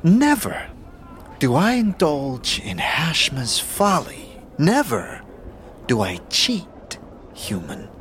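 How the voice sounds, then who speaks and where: A sly, proud alien gambler from a fantasy audio drama. His delivery is slow and halting, in clipped phrases, and the pitch swoops wildly over a fairly high male voice. There is noise behind him. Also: full character voice. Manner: halting, repetitive, clipped broken syntax. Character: thin yellow-skinned humanoid gambler, sly, proud, speaking in clipped phrases.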